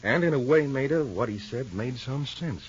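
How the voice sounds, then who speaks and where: An actor playing a calm, thoughtful husband in an old radio soap, speaking in a measured way, on a lo-fi vintage recording. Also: male voice, medium pitch, breathy edge. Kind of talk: 1940s radio acting.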